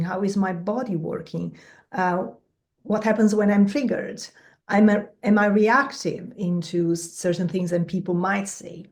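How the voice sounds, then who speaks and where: A middle-aged woman, a mindfulness teacher and guest, answering in a measured, reflective way with pauses. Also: medium pitch, darkish tone.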